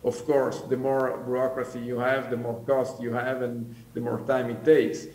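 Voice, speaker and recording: A man with an Italian accent, a native Italian speaker from Switzerland, answering on a business panel with many pauses and fillers. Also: medium pitch, flat delivery, a little mellow. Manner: hesitant, many fillers and restarts. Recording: roomy, distant mic.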